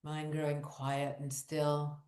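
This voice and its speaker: Slow, low-voiced woman guiding meditation. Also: monotone, a little mellow. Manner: slow, deliberate, short phrases with long pauses. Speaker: guided meditation leader. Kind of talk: guided visualization, meditative narration.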